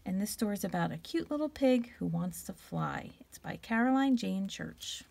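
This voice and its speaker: A woman reads a picture book to young children with very lively, swooping intonation. There is some creak in her voice. She draws out key words and stops to put questions to her listeners. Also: mid-range voice. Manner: expressive, stretches key words, pauses to ask questions. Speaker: teacher reading aloud. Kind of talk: animated children's storybook read-aloud.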